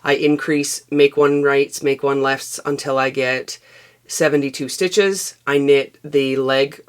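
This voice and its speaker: A woman, hosting a knitting vlog in relaxed, rambling chat. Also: very deep voice. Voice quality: raspy. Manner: relaxed and rambling, with frequent fillers. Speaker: knitting podcast host. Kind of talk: casual chatty knitting vlog. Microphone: external microphone.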